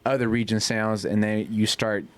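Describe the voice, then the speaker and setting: A man chatting casually on a podcast, explaining things in a halting, slangy way. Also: on the low side, fairly even intonation. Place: small furnished lounge studio indoors. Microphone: close podcast microphone.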